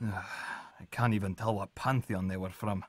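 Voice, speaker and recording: A man's voice: low voice, fairly even intonation, measured pace, no echo, studio quality. A man playing a weary, kindly grandfather god in a fantasy audio drama, with a muttering, hesitant delivery. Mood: weary, tender.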